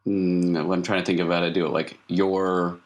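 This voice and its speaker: A man narrating a tabletop game as game master, casually improvising and often pausing to think aloud. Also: low-pitched, level tone.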